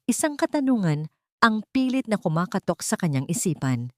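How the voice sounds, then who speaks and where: A woman's voice: lowish voice, a little mellow, dry recording, clean studio sound. A woman narrating a dramatic scripted story in a solemn, emotive way.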